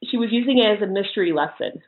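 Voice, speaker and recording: A woman's voice: medium pitch, expressive, quick pace. Woman, casual interview guest, giggly, anecdotal, muffled phone-like call audio. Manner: giggly, often laughing as she talks. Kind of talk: casual chat, telling personal anecdotes.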